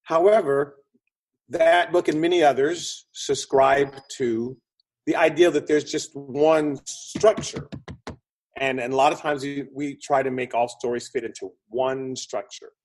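A man's voice: mid-range voice, fairly even intonation, measured pace, low-quality recording. A man speaking conversationally as an interview guest, explaining ideas like a storyteller and acting out quotes. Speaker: guest, film producer and script consultant.

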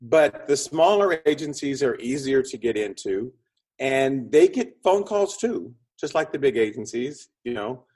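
A man with a medium-pitched, somewhat husky voice speaks as an expert guest in an interview. He explains conversationally and animatedly, acting out his examples in short, punchy phrases. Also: lively intonation, a little slow, a little mellow. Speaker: interview guest, screenwriting consultant and producer.

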